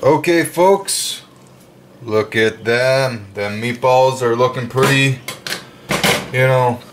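A man talks through his home cooking at an unhurried, drawn-out pace, casual and chatty with frequent fillers. He speaks at an everyday middle pitch. He's picked up by the camera mic in a kitchen with a faint hum of noise behind him. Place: home kitchen with open windows.

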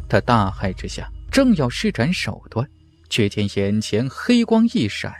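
A male audiobook narrator reads a fantasy tale with drama. His mid-pitched voice moves in lively swings, and music plays behind him. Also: unhurried.

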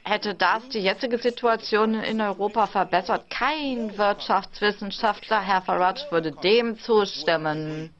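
A woman's voice: medium pitch, a little slow, hardly any pauses, lo-fi sound. A woman working as an interpreter, giving a simultaneous translation of a parliamentary debate.

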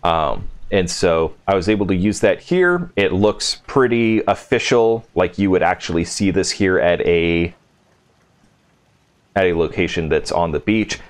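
A man's voice: low voice, animated, measured pace. A man hosting a casual, unscripted video game walkthrough, thinking aloud in an informal way as he shows things. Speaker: video game settlement-build host. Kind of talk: casual gameplay walkthrough commentary.

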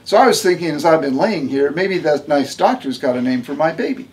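A man's voice: on the high side, expressive, a little fast, a little mellow, slight background noise, close to an everyday voice. Man doing a brassy, folksy mother of many. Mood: chatty. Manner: brassy, folksy, bossy drawl. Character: bold loud middle-aged mother of many, earthy.

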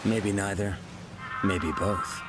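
An actor playing an earnest, deadpan police hero in a video game cutscene. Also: male voice, deep voice, takes it slowly. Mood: solemn, cryptic. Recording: music behind the voice.

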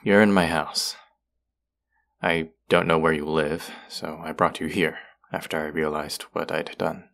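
A man's voice: a bass voice, level tone, measured pace, gravelly, fairly bright tone, exaggerated voice. A young man playing an irritable, predatory vampire college student in an intimate audio roleplay, curt, sarcastic and condescending. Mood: smug, cold.

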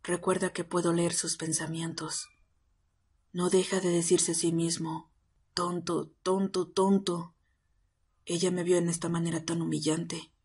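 A performer playing a miserable young half-vampire man in love, husky and confiding, in an intimate ASMR roleplay. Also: mid-range voice, level tone, measured pace, darkish tone. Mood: sheepish, subdued.

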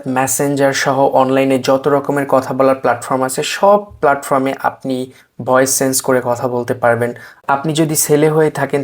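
Chatty tech host pitching an app. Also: male voice. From Bangladesh. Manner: chatty, drops in English words and filler "ah"s. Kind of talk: casual product demo and sales pitch.

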